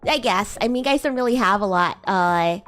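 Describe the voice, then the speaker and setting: A woman's voice: mid-range voice, expressive, fairly quick, fairly bright tone, faint music behind, pitched below the performer's own voice, lightly acted. A woman voicing a bratty, haughty young demon class president in a livestream roleplay, teasing and condescending as she improvises. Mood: curious, condescending. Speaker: VTuber streamer playing a roleplay character.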